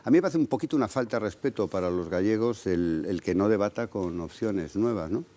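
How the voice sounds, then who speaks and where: A man from Galicia answering questions in a TV interview, measured, often ending points with a questioning tag. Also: on the low side, animated, mellow. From Galicia, Spain. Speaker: interviewed candidate.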